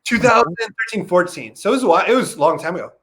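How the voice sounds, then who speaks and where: A man's voice: animated, rapid-fire, a bit bright. A man in his thirties, a comedian talking as a podcast guest, laid-back and self-deprecating. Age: 30s. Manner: laid-back, self-deprecating, jumps into a high voice when quoting himself. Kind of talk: casual chat.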